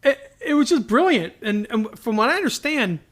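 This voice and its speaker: Male podcast host, high bright voice, casual and enthusiastic entertainer. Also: moderate pace. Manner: enthusiastic, animated, laughs readily. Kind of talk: casual chat.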